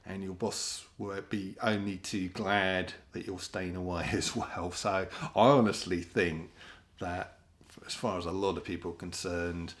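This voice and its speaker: A British man giving a casual, rambling spoken commentary in a sardonic manner. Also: on the low side. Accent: British English. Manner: rambling, sardonic, often mimics other people in put-on voices. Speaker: host of an opinion monologue.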